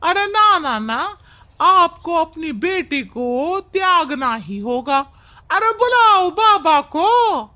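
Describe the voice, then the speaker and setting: Indignant cartoon village woman female actor: high, forceful, scolding. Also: sing-song, slow, heavily acted. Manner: scolding, emphatic.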